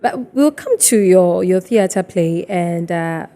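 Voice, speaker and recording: A woman's voice: lowish voice, animated, slow, crowd noise. A woman with a Ghanaian accent hosting a conversational TV interview in a reverberant room. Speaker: TV show host.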